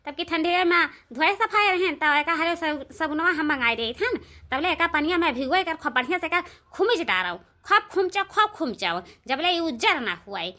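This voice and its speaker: Woman acting a high-pitched, scolding village housewife. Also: lively intonation, moderate pace, hardly any pauses, pushed and bright, exaggerated voice. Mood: bossy. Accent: eastern Uttar Pradesh. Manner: scolding, complaining, emphatic repetition. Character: bossy, scolding village housewife in a family feud. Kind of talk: comedy cartoon village dialogue.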